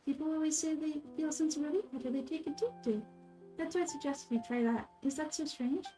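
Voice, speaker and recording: A woman voicing a calm, wise young girl deity from a game, musing in a measured way. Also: sits high, faint music behind, close to an everyday voice.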